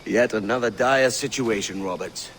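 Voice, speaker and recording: Male actor as wry pirate captain, low voice, driven, cocky, game cutscene, faint background noise. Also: normal speed, fairly bright tone, natural voice. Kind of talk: video game cutscene acting.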